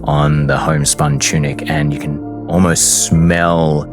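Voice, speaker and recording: A man narrating a tabletop fantasy game as game master, deliberate and portentous, with long dramatic pauses. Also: rumbling low, flat delivery, music in the background.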